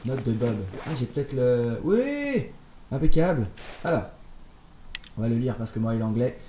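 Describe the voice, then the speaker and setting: A man's voice: medium pitch, big pitch swings, moderate pace, slight background noise. A man casually commenting on his gameplay, chatty and playful, with jokey asides to his viewers. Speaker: let's play host. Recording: roomy sound with loud game music.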